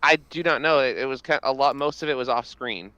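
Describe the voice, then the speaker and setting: A man's voice: mid-range voice, a little fast, pushed and bright, no room sound, dull audio. A man hosting a casual group podcast chat, joking and talking in bursts with frequent restarts. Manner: jokey, talks in bursts with restarts. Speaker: podcast host.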